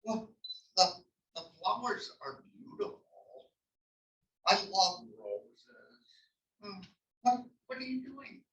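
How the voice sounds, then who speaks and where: A man playing a cheerful, hard-of-hearing father in his nineties, halting and befuddled, in a comedy table read. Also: sits high, huge pitch swings, sharp tone, dull audio, full character voice. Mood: cheerful, muddled. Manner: halting, repetitive, befuddled but affectionate. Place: library room table read before a mic at distance. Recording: echoey room, distant mic.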